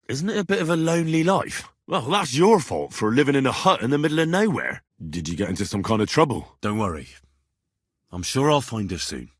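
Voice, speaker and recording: Young male medieval adventurer for a video game: polite, plucky, husky, animated delivery. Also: lowish voice, no echo, clean studio sound. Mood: curious. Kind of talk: video game character dialogue.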